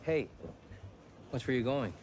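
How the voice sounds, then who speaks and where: A man's voice: mid-range voice, expressive, unhurried, noisy background, natural voice. A man playing an arrogant, spoiled star racing driver, cocky and contemptuous, in a live-action game story. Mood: scornful.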